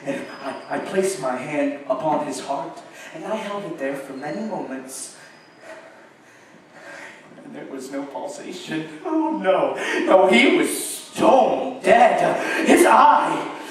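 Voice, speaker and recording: A man playing a nervous, unhinged murderer in a theatrical gothic horror reading, halting and repeating himself between sudden outbursts. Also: a little high. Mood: grim, satisfied. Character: unhinged nervous murderer narrating his gothic crime, gleeful and frantic. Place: live show at an amusement park. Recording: echoey hall from far off, some noise.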